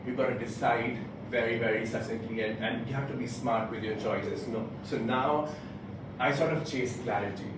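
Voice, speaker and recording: A very reverberant, distant recording with some noise carries a young man. He delivers a motivational club speech deliberately, breaking it into short rhetorical phrases. His pitch sits in an ordinary middle range for a man. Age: late twenties. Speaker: club speaker giving a prepared speech. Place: indoor club meeting room, picked up from a distance. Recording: very echoey room, distant mic, background noise.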